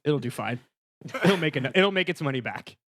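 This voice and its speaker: A man's voice: on the high side, animated, moderate pace, a bit bright, no room sound, studio quality. A man bantering casually as a comedy podcast panelist, joking and needling his co-panelists.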